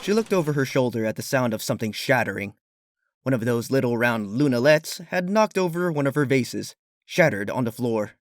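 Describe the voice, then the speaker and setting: A man's voice: mid-range voice, expressive, brisk, no room sound, clean studio sound. A man reading a fan fiction story as a scripted narrator. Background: background music.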